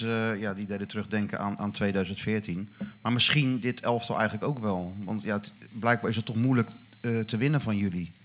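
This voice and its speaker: Male reporter, lowish voice, halting questions, faint noise. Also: a bit bright. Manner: halting, repeats words while asking. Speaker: journalist. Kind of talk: press conference questions.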